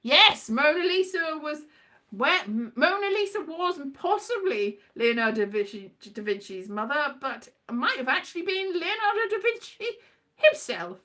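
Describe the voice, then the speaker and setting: A woman's voice: high-pitched, big pitch swings, normal speed, sharp tone. A British woman chatting casually in a whimsical, digressive monologue, often turning playfully dramatic. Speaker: performer and vlogger.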